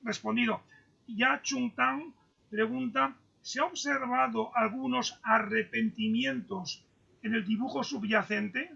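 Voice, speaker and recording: A man's voice: extremely high pitch, fairly even intonation, vocal fry, sharp tone, husky. A man moderating an online Q&A, reading out messages and stumbling over his words on a video call. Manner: halting, stumbles and repeats words. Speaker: moderator reading audience questions. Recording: dull lo-fi video call audio.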